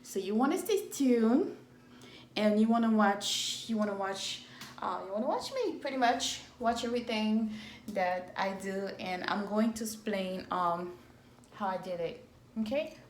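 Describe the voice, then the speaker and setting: A woman in her thirties from Cameroon whose first language is French. She speaks English with a strong Cameroonian French accent, slowly and deliberately, in a casual vlog recorded on her phone. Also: medium pitch, lively intonation, a little hiss or hum. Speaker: event planner and entrepreneur. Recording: echoey room on a phone.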